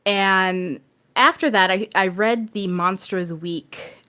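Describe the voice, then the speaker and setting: A woman's voice: mid-range voice, slow, pushed and bright, smooth. A woman talking casually and enthusiastically as a guest on a podcast, in an earnest way.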